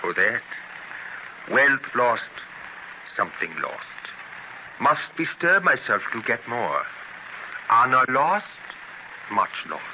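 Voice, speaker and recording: An actor playing an ambitious, intense immigrant newspaper publisher in earnest, declamatory 1930s radio drama style. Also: male voice, mid-range voice, very animated, slow, pauses often. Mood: solemn. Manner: earnest, declamatory, theatrical delivery. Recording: noisy, dull 1930s radio transcription.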